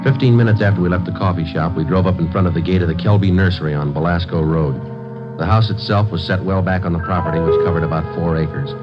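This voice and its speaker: A man's voice: low-pitched, fast, natural voice. An American man playing a terse, businesslike police sergeant in a 1940s radio drama, clipped and matter-of-fact. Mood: deadpan, matter-of-fact. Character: terse, businesslike male police sergeant narrating his case. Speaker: lead actor and narrator. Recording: muffled vintage 1940s radio, music behind.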